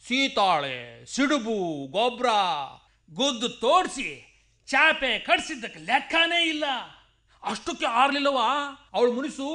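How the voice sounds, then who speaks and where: An actor in a radio play playing a stubborn, superstitious shepherd with a rural Karnataka accent, admonishing in emphatic, folksy speech. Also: male voice, very high-pitched, fairly bright tone, full character voice. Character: stubborn superstitious rustic shepherd, fervent devotee of village goddess.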